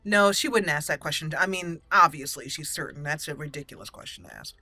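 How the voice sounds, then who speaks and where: A woman hosting gameplay and improvising in character as an elf mage, speaking in thoughtful, halting, slightly formal phrases. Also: low-pitched, bright, forceful tone, dry recording, soft background music. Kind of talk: improvised in-character roleplay commentary.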